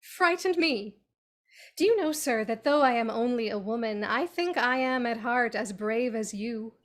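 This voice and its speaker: A woman plays a high-minded young noblewoman with a light, high voice. She is indignant and proud, speaking earnestly, and reads from a video call. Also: moderate pace, close to an everyday voice. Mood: defiant. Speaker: cast reader in a play reading. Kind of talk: cold-read stage play reading.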